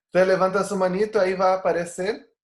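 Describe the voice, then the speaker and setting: A man's voice: high voice, flat delivery, quick pace, roomy sound. A man from Brazil, a native Portuguese speaker, teaching an online Bible study over a video call. He talks earnestly, with frequent fillers and restarts.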